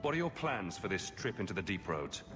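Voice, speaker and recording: Casting: young game hero, low voice, dry wit, flippant questions, music underneath. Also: male voice, very animated, a little fast. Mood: wry. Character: dry-witted young male fantasy adventurer hero. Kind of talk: video game character acting.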